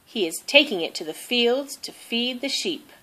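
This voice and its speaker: A woman narrating a children's storybook aloud in an expressive, deliberate way. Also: on the high side. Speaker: storybook reader.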